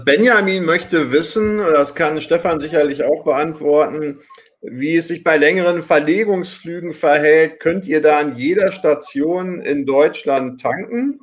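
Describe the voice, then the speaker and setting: Middle-aged male host, slightly high voice. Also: a little slow. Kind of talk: moderating a live Q&A. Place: home study with bookshelves. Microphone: video call.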